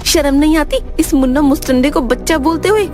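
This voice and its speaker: Woman as fierce cartoon village wife, high voice, combative scolding, prominent music behind. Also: a little fast, natural voice. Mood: irritated scolding. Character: fierce, sharp-tongued village wife, quick to threaten. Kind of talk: cartoon voice acting.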